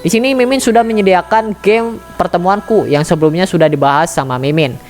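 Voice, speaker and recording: A woman's voice: lowish voice, brisk, a little mellow, soft background music. A woman giving a casual, chatty step-by-step tutorial, talking straight to her viewers. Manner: chatty, addresses viewers directly. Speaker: tutorial channel admin.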